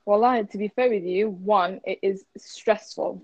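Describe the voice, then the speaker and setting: A woman's voice: mid-range voice, unhurried, a little mellow, dry recording, dull audio. A woman in her twenties from Ireland with a neutral, slightly American-tinged accent, chatting reflectively about university life with a friend. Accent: neutral, slightly American-tinged Irish English. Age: 20s. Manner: chatty, reflective, with many fillers. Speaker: university student. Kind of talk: casual chat between friends.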